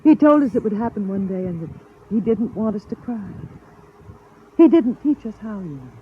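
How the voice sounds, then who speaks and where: A woman acting in a 1950s radio drama as a serene, wistful artificial wife, measured and breathy, on a thin old recording. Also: medium pitch, animated, narrow, tinny audio, slight background noise, lightly acted. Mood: wistful, composed.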